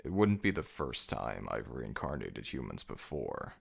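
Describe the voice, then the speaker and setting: Ancient jackal death god, super deep, slow and imperious, brooding, voice swings around, asmr roleplay. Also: male voice, sing-song, heavily acted. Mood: scheming, commanding. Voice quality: very deep, gravelly. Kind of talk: ASMR audio roleplay acting.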